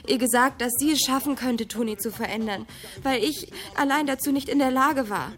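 Troubled young woman, higher voice. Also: moderate pace, a bit bright. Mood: regretful. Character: troubled young woman, ashamed of her brother. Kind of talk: radio drama acting.

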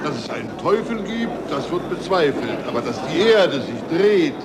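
An actor playing a scornful, wryly mocking monsignor in a 1940s radio play, deliberate and sardonic. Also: male voice, a little high, sing-song. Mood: scornful, amused. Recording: noisy 1940s radio recording.

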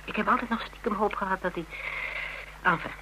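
An actor as a high-pitched, wry survivor. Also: male voice, steady tone, a little fast, natural voice. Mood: resigned. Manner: colloquial, wry, downplaying danger. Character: shaken but wry survivor of a killer. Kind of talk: Dutch radio crime drama acting. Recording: noisy radio drama recording.

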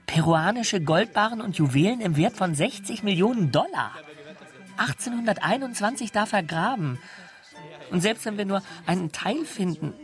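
A man's voice: high voice, animated, normal speed, soft background music. An actor playing a dreamy, boyish young male drifter who speaks with earnest eagerness in a radio drama. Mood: eager, insistent.

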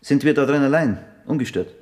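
An actor playing a skeptical police inspector, questioning drily and insistently in a radio drama. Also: male voice, medium pitch, lively intonation, fairly quick, darkish tone, natural voice. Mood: dry, brisk. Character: probing, skeptical male police detective inspector.

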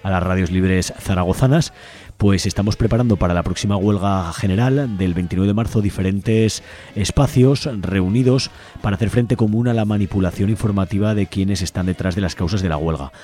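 Low, mellow Spanish male radio host. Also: brisk. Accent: Spanish from Spain. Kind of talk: scripted radio announcement. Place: radio studio.

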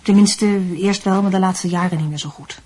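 An actress playing a doting, evasive middle-aged mother in a Dutch radio drama, explaining things gently and sidestepping. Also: medium pitch, moderate pace, lightly acted. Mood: evasive, gentle.

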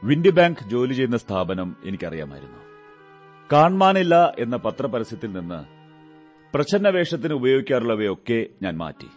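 An actor playing a shrewd, commanding male detective in a Malayalam radio drama, speaking in a measured, deliberate way. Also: medium pitch, lo-fi sound.

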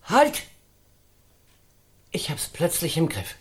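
A woman's voice: deep voice, wildly swooping pitch, takes it slowly, slightly rough, exaggerated voice. An actress playing a whimsical, argumentative woman who philosophizes in a wordy, declamatory way in a German radio drama. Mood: agitated, insistent.

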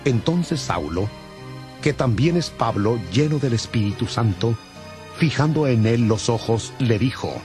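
A man's voice: medium pitch, animated, unhurried. Solemn male Bible narrator over music. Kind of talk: scripted scripture narration. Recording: music under the voice.

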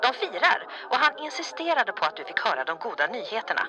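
A woman's voice: on the high side, very animated. A woman playing a polite, apologetic tavern keeper making a late-night call. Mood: explaining. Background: crowd of revellers.

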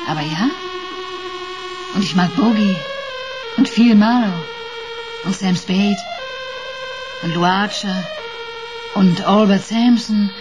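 A woman's voice: mid-range voice, slow pace, long pauses, music in the background, close to an everyday voice, poor audio. An actress playing a composed, firm female client in a detective radio play. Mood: cool, playful. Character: cool, self-assured female client of a detective.

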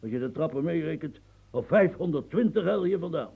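An elderly marquis with a creaky, rough-edged voice in a radio play. He rambles and grumbles like an old campaigner. His sentences stammer, repeat and drift off into musing. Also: fairly high pitch, expressive, brisk, warm tone, full character voice, low-quality recording. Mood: irritable, mocking. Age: older. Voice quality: gravelly, husky. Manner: stammering, repetitive, musing, earthy, prods with "huh". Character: rambling, grumbling old French marquis, retired military officer.

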